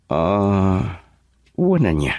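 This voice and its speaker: An actor with an Ilocano accent playing a playful young man working abroad, loud and joking in a radio drama. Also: deep voice, wildly swooping pitch, very slow, dry recording, clean studio sound, heavily acted. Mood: cheerful.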